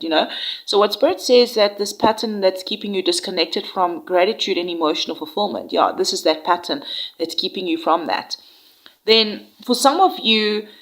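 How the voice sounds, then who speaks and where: A woman with a slightly low voice gives a tarot reading. She sounds nasal and congested from a flu. She talks gently and reassuringly, often trailing off and restarting. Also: low-quality recording. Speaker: tarot reader.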